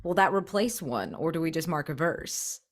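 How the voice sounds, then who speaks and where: A woman's voice: deep voice, lively intonation, moderate pace. A woman chatting casually as a player in a roleplaying game, thinking aloud in a playful, slangy way.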